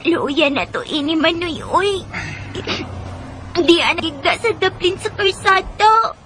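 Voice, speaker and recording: A woman's voice: extremely high pitch, expressive, slow, exaggerated voice. A woman playing a poor, affectionate little sister in a radio drama, childlike and plaintive, with music behind her. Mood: worried, weary. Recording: loud music under the voice. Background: drama background music.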